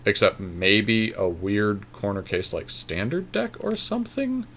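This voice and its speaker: A man's voice: on the low side, huge pitch swings, measured pace, a bit bright, slight background noise. A man co-hosting a gaming podcast, talking casually but arguing his points in an analytical, matter-of-fact way. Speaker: podcast co-host. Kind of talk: casual gaming commentary with a friend.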